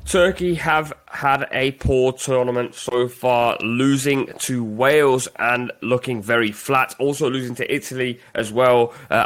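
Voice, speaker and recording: A man's voice: medium pitch, a bit bright. A man hosting a football podcast, asking guests questions in an upbeat, emphatic way. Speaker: podcast host. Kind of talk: casual sports chat.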